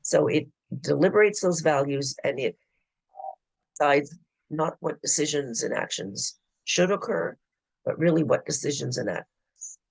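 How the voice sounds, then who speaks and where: A man who is losing his voice gives a formal, explanatory presentation at a board meeting. His delivery is halting, with frequent hesitations. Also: a little high, animated, vocal fry, husky, no echo, low-quality recording. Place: public board meeting room.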